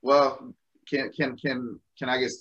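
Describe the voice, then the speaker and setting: A man's voice: mid-range voice, flat delivery, slow, fairly bright tone, slightly rough. A man hosting a casual interview, informal and slangy, stammering over repeated words, on slightly dull audio. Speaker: interview host.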